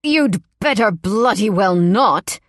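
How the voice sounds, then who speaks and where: A woman's voice: medium pitch, lively intonation, takes it slowly, no echo. A tall, sinister man, as a woman narrator puts him on, speaks in a vaguely British accent, clipped and icily courteous. Every polite word carries a threat. The audiobook recording is close and dry. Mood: snapping. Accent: vaguely British, not quite British or American.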